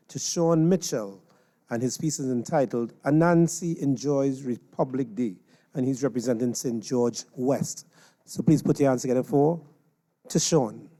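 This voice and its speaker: A man with a Trinidadian accent hosts a live stage competition. His voice is mid-pitched and mellow as he formally presents each contestant. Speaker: competition announcer.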